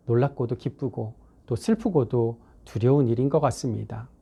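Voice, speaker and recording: A man's voice: medium pitch, dark tone. Reflective man preaching a sermon. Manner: earnest, reflective storytelling.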